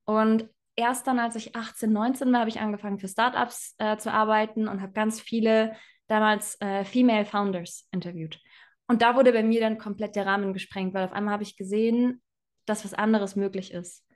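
A woman's voice: medium pitch, steady tone, moderate pace. Reflective young woman, chatty guest. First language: bilingual German and Spanish. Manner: reflective, many fillers, laughs often. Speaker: guest, self-employed in personal branding. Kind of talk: casual interview conversation.

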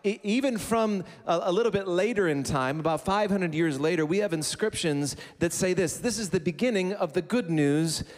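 Pastor preaching in a reverberant hall. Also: male voice, high-pitched, normal speed, hardly any pauses. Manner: conversational preaching with asides and humor. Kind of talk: sermon. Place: church sanctuary. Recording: long reverb of a church hall.

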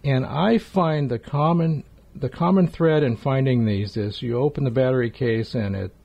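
Middle aged radio host guy, really mellow dark voice, chatting casually, repeats himself when keen. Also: medium pitch, moderate pace, creaky, highs cut off. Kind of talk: casual reminiscing chat.

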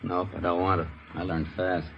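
An actor playing a bitter, guarded ex-convict in a 1950s radio drama, curt and repetitive. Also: male voice, low voice, flat delivery, moderate pace. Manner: curt, repeats himself. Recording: muffled, noisy old radio recording.

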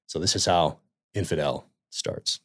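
A man hosting a podcast, reading an earnest introduction and then asking halting questions full of fillers. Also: very deep voice, wildly swooping pitch, creaky, fairly bright tone, no room sound, clean studio sound. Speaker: podcast host.

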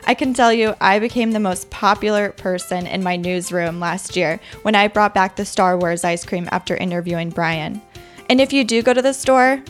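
A woman with an American accent reading scripted narration as a podcast host. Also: mid-range voice. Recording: music under the voice. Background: background music.

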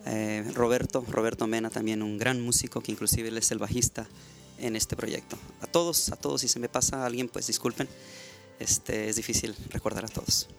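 A middle-aged man with a Mexican accent talks earnestly as a guest on a live radio show. His voice sits at an ordinary pitch, warm-hearted and devotional in manner. Faint music plays underneath. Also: fairly even intonation, normal speed, gravelly. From Mexico, Baja California.

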